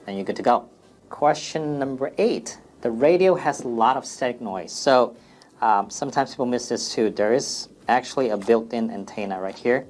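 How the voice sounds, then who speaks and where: A man's voice: medium pitch, normal speed, darkish tone. A man presenting an informal product FAQ demonstration in a plain, step-by-step instructional way.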